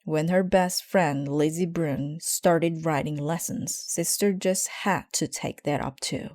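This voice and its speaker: A woman narrates a children's storybook at an unhurried pace. Her voice sits a little low and mellow, with a close, dry sound. The delivery is measured and deliberate. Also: fairly even intonation, no echo. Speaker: storybook reader. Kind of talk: scripted children's story narration, read aloud.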